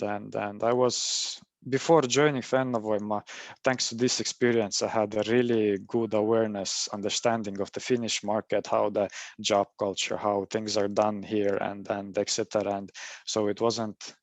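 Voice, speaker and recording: A man's voice: on the low side, dry recording. A young man from Estonia with an Estonian accent speaks in a career webinar, hesitating often and repeating "and, and" as he restarts his sentences. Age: young adult. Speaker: mechanical engineer on a career panel. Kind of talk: webinar talk.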